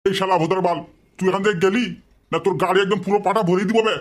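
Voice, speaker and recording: A man's voice: high-pitched, fairly even intonation, rapid-fire, natural voice. A man voicing a grumpy, stingy, foul-mouthed father in a cartoon comedy. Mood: threatening. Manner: gruff, threatening, swearing.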